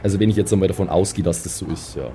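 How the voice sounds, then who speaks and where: Very low, dark-voiced, dry man. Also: steady tone, rapid-fire, faint music behind. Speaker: tabletop role-playing player. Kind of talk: casual in-character role-play.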